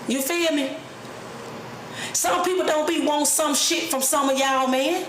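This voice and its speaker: A woman's voice: high voice, unhurried, echoey room, noisy background. A woman in her late thirties giving a blunt, profane, streetwise talk straight to the camera, preaching about people who play games. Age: 30s. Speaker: vlogger. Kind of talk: unscripted personal rant.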